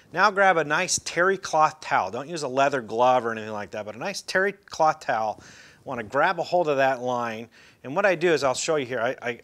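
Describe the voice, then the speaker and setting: Middle-aged guy showing how to spool a reel, easygoing, lots of uhs, sitting in a room. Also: medium pitch. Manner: relaxed, folksy, with fillers and restarts. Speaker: fishing instructor. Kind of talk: casual how-to demonstration. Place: indoor room, seated in a chair.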